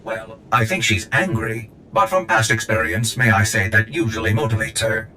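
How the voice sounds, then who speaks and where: An actor voicing a polite, analytical medical droid who speaks in a formal, dry, precise way. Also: male voice, on the low side, monotone, moderate pace, pushed and bright, slight background noise.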